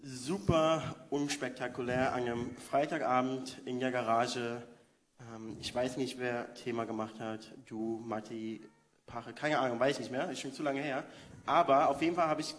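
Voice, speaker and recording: A man's voice: mid-range voice, fairly even intonation, moderate pace. Chatty man from in a reverberant hall. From Berlin, Germany. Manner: self-deprecating, chatty, laughs often. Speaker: youth worker. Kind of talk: interview on a church stage. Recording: hall reverb, faint noise.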